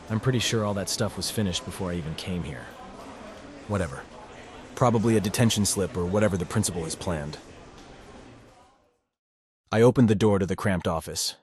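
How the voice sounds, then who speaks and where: A man's voice: low voice, darkish tone. A man playing a wry, awkward teenage boy who narrates a visual novel game in a dry, sardonic manner. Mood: baffled, sardonic. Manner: dry, sardonic inner monologue. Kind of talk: first-person visual novel narration and dialogue.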